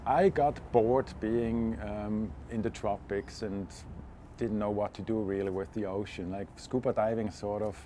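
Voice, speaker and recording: A man's voice: lowish voice, mellow, a little hiss or hum. A man, talking casually as a guest in an interview about himself. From Switzerland. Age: 40s. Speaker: interview guest, freediving instructor.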